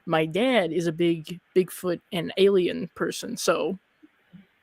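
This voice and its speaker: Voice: mid-range voice, steady tone, measured pace, gravelly, a little mellow, dry recording. Chatty livestream host, close dry sound. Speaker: host interviewer of a horror livestream. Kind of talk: casual livestream interview chat.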